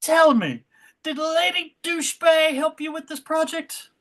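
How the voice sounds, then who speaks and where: A woman's voice: high-pitched, unhurried, voice pushed up. A woman playing a hearty, effusive aristocrat in a comedy sketch, with a jovial and grandly theatrical manner.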